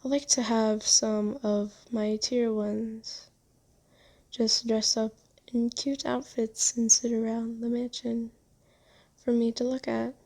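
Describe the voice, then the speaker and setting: A woman voicing a kind but possessive female vampire owner in an ASMR roleplay, soothing and genteel, coaxing gently in halting phrases. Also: fairly high pitch, little pitch movement. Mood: genteel, matter-of-fact. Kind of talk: ASMR roleplay, scripted monologue.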